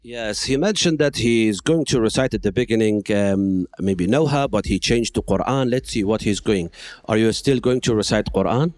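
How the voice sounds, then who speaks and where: A man's voice: fairly low pitch, normal speed, a little mellow, no room sound. A man judging a competition, speaking briefly and accommodatingly to a contestant. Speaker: competition judge.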